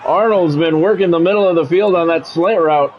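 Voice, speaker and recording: Higher-pitched man calling football play-by-play. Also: brisk, highs cut off, a little hiss or hum. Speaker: game streamer calling play-by-play of his own football video game. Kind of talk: sports play-by-play commentary mixed with casual gamer talk.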